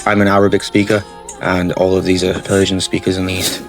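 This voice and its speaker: Low, flat-voiced man explaining his game moves. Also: fairly quick. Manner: matter-of-fact, explains each move step by step. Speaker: gaming channel host. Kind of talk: strategy game playthrough commentary. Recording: faint music bed under the voice.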